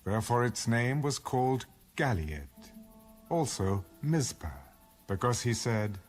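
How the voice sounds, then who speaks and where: A man's voice: mid-range voice, very animated, a little slow. A man narrating a dramatized scripture story from a script. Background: music.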